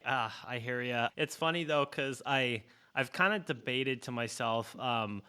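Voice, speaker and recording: A man's voice: mid-range voice, a little slow, sharp tone, clear, resonant tone. A man in his forties hosting a podcast, chatting casually in a rambling, joking way and laughing often. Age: 40s. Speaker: podcast host. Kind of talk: casual interview chat.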